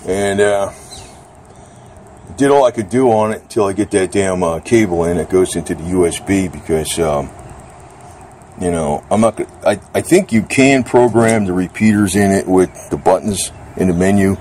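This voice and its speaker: A man's voice: sits low, normal speed, a little mellow. A man giving a casual, rambling hands-on review in an informal, slangy way with some mild cursing. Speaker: product reviewer. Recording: clear background noise, outdoor ambience.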